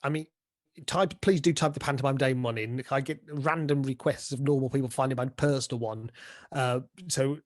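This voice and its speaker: A man's voice: mid-range voice, steady tone, moderate pace. A British man giving informal, chatty interview answers as a pantomime dame and director, often explaining things through acted-out examples.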